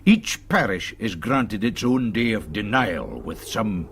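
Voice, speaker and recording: An actor playing a genial, wry older Scottish gentleman scholar in a radio drama, with measured phrasing. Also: on the low side, lively intonation, slight background noise, full character voice. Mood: wry, confiding.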